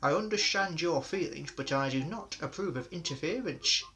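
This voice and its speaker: A man voicing a pompous, stern railway boss who speaks in measured, important tones. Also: on the high side, expressive, bright, forceful tone. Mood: stern official. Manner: measured, impressive pauses.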